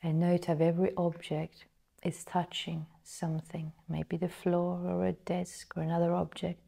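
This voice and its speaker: A woman guiding a meditation in a soft, breathy, partly whispered voice, measured and full of pauses. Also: fairly low pitch, completely flat delivery. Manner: slow, measured, pausing between phrases. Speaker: meditation guide. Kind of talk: guided meditation narration.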